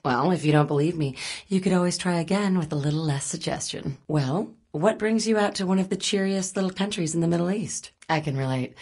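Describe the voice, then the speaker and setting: A wry woman reporter in a spy thriller game. Her voice sits on the low side and has a husky grain. She talks naturally and dryly, teasing and probing while keeping her guard up. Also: a little mellow. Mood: wry, flirtatious. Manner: dry, teasing, conversational. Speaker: video game voice actress. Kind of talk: naturalistic video game dialogue acting.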